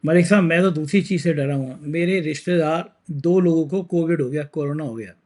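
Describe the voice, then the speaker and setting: A man's voice: a little high, brisk, darkish tone. A man hosting a political news talk show, talking with a sore throat in a conversational, emphatic way.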